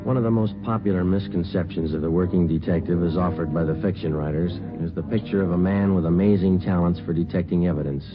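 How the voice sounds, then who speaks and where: A man acting as a stoic detective sergeant in a 1950s radio crime drama. The voice is very low and deadpan, the phrases clipped and factual, on a muffled old broadcast. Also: natural voice. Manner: deadpan, clipped, matter-of-fact. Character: stoic, businesslike male police sergeant detective. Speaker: narrator and lead actor.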